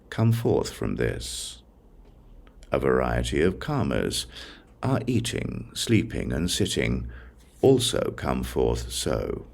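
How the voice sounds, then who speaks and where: A man reading a sacred text as an audiobook narrator, in a solemn, measured way with deliberate pauses between short phrases. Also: a bass voice, creaky, darkish tone.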